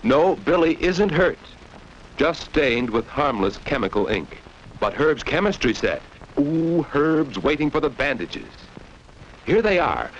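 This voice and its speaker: A man narrates a children's educational film in 1940s style, with a medium-pitched voice that rises and falls in a lively way. He teaches like a storyteller, often turning to the young audience with questions. The old soundtrack is dull, with a faint layer of noise. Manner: didactic, addresses young listeners with rhetorical questions.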